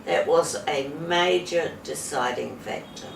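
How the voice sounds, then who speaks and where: An older woman in her seventies, from New Zealand, tells her story to a camera in a sitting room, in a lowish voice. She talks slowly and carefully, with pauses and small fillers. The sound is picked up from a distance, with room echo and a steady hiss behind her. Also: vocal fry. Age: 70s. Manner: slow, measured, with pauses and fillers. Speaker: patient giving a testimonial. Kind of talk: unscripted testimonial to camera. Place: indoor room with a sofa. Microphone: camera mic from a few feet away. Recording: roomy, distant camera audio with background hiss.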